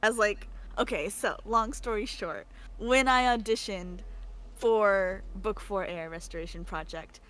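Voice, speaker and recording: A woman's voice: medium pitch, unhurried, poor audio. A young woman in a casual livestream chat, telling stories in a jokey, giggly manner. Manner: animated, giggly, jokey storytelling with acted quotes. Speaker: voice actor, livestream guest.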